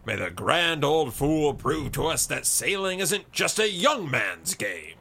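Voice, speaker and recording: A man's voice: a little high, very animated, takes it slowly, gravelly, sharp tone, husky, voice pushed up, heavily acted. A man voicing a fiery, hearty explorer colonel from a fantasy story, boisterous and indignant. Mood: hearty toasting.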